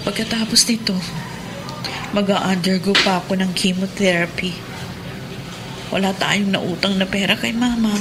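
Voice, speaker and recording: A woman's voice: mid-range voice, fairly even intonation, normal speed, long pauses, lightly acted, poor audio. Radio drama: woman playing anxious young Filipino patient, mid-range, subdued, music underneath. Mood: worried. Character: young ailing wife, worried and subdued.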